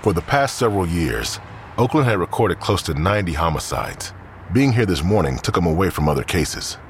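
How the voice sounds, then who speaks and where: A man's voice: deep voice, very animated, fairly quick, a little hiss or hum. A man reading scripted crime fiction as the narrator.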